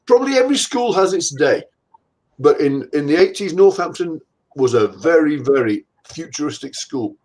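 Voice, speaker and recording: A man's voice: a little high, animated, moderate pace, a little mellow, rough recording. Older man telling rambling anecdotes. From England. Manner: rambling, anecdotal, self-deprecating. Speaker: guest anatomist. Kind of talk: casual conversational interview.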